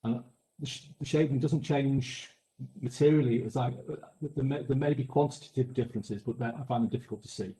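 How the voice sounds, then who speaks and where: A man from England giving measured expert testimony at an inquiry, often restarting his sentences. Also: mid-range voice, dark tone, echoey room, low-quality recording. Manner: measured, hesitant with frequent restarts. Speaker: expert witness.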